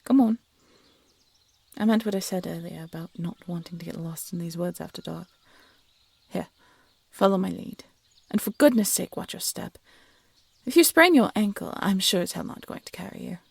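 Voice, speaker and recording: A woman playing a blunt, sarcastic young huntress, a soft-hearted failed assassin, in an audio roleplay drama. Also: fairly low pitch, lively intonation, normal speed, gravelly, husky, no room sound, heavily acted. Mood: wry, grudging.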